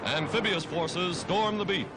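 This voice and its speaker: A man's voice: high voice, measured pace, heavy background noise. An American man narrating a wartime 1940s newsreel from a script, in a dramatic, emphatic, declamatory manner. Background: loud music.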